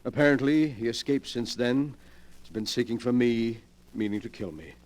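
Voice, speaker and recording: An actor playing a cunning, suave gentleman schemer in 1940s radio mystery style, with a smooth, soothing, condescending and deliberate way of talking. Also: medium pitch, natural voice. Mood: feigned regret.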